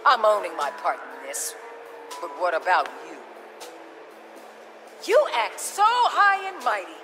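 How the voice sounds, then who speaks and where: A hard-nosed female government chief in a dramatic game scene. Her voice is low and authoritative, with a blunt, combative edge, over music. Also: big pitch swings, measured pace. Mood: angry, scornful. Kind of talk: dramatic video game acting.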